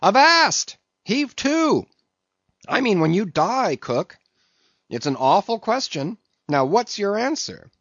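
Man playing a brash, swooping, high-pitched ship's mate. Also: sing-song, a little slow, husky, no room sound, lo-fi sound, heavily acted. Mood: mocking. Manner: loud, teasing, interrogating, swooping exclamations. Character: brash, bossy, mocking male whaling ship's mate.

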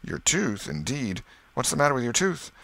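A man voicing an old aunt who is brisk, flustered and sharply scolding. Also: medium pitch, wildly swooping pitch, creaky, fairly bright tone, no room sound, pitched above the performer's own voice, exaggerated voice. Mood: skeptical.

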